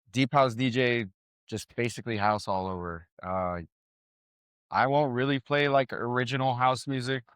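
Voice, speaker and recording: A young man from South Texas, a DJ guest in a barbershop, talking in a relaxed, rambling way full of "like" and "bro". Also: medium pitch, no room sound, clean studio sound. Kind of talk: casual chat.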